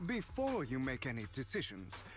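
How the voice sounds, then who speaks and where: A suave game phantom thief, super polite n theatrical, voice swings around, music under it. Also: male voice, mid-range voice, huge pitch swings, moderate pace. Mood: smooth, persuasive. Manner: smooth, grandiose, elaborately polite speech. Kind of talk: scripted video game voice acting.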